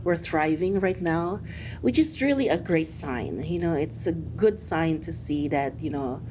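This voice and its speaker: Woman guest, low voice, upbeat, laughs easily, faint noise. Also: measured pace. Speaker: business owner guest. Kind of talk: casual interview answers.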